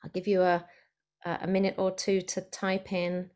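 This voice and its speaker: A woman's voice: on the low side, monotone, measured pace, a little mellow. A woman speech therapist giving an online presentation over a video call, explaining in a friendly way with some fillers.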